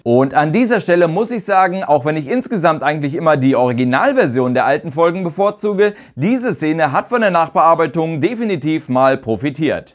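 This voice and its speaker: A man in his thirties giving eloquent, scripted commentary to camera as the host of a ranking video. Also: on the high side. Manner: eloquent, formal wording. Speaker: host of an audio drama ranking channel. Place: small furnished room indoors. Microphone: lapel mic.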